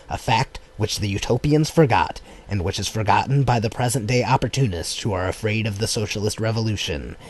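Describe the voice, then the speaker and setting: A man narrating a political text as an audiobook in a measured, formal manner. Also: lowish voice, slight background noise. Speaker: audiobook reader.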